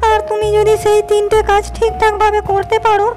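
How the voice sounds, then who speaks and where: A woman voicing a kind, wise fairy in a cartoon, speaking in a gentle, reassuring way. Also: extremely high pitch, completely flat delivery, brisk, soft background music.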